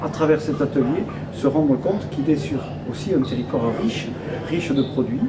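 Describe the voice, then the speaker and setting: A man in his fifties speaks at a middling pitch, as if answering an interview. He explains in a measured, hesitant way, pausing often within phrases. Loud ambient noise runs behind him. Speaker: restaurant owner interviewee. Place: indoor restaurant room.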